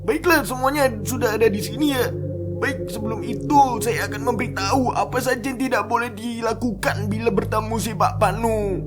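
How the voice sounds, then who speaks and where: A woman's voice: a little high, moderate pace, close to an everyday voice. A woman voicing an earnest cartoon man who leads and instructs children against a ghost. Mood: instructing. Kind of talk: cartoon voice acting. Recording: music behind the voice.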